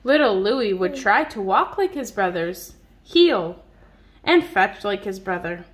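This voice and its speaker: A woman reading a picture book aloud to a young child in an expressive, child-directed way, drawing out words. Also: mid-range voice, measured pace. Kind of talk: read-aloud storytelling to a young child, with simple questions. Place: indoors at home on a dark wood floor. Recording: noisy home phone recording.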